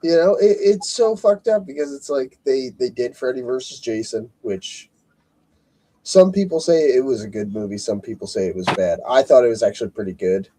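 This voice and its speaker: A man hosting a podcast, asking casual interview questions in a relaxed way and swearing now and then. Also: mid-range voice, normal speed, low-quality recording. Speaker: podcast host.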